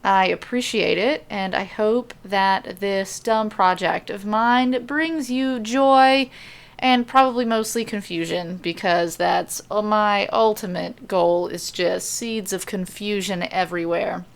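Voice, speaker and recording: Woman, podcast host and storyteller, slow pace, creaky voice, dry self-deprecating humor. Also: medium pitch. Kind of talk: casual rambling podcast intro, then audiobook-style narration.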